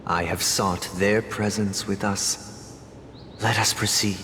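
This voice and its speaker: A composed, priestly young leader from a video game. His lowish voice moves slowly and formally, ringing through a long reverb over a faint rumble. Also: steady tone, fairly bright tone. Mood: gravely reassuring. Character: composed, solemn young male religious leader hearing confessions. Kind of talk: formal scripted game dialogue.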